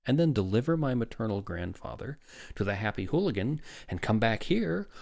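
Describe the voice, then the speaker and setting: A man's voice: lowish voice, level tone, moderate pace, gravelly, darkish tone. A man voicing a frowsy, worn-out expectant father in a storybook reading, with hollow, sulky sarcasm. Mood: sarcastic, taut. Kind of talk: storybook character in a read-aloud.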